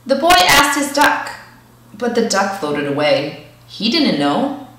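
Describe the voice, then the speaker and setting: Lady doing an expressive kids story read aloud, really animated pitch, super echoey and kinda distant from the mic. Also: slow. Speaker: storyteller reading a picture book aloud. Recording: echoey room, distant microphone.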